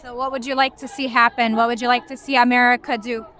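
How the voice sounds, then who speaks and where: A woman interviewing a rally attendee with quick, direct questions. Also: on the high side, level tone, pushed and bright, slight background noise.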